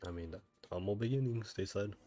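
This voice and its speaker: A man's voice: lowish voice, brisk, dark tone. A man chatting casually on a gaming livestream, rambling with wry asides. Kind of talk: casual gaming stream chat. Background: game music.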